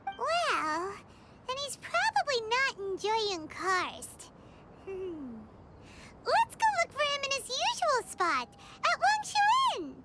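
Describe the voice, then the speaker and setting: A woman voicing a tiny childlike companion creature in a video game, squeaky and bubbly. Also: huge pitch swings, slow, very noisy, full character voice. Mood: helpful, chirpy. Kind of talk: video game character acting.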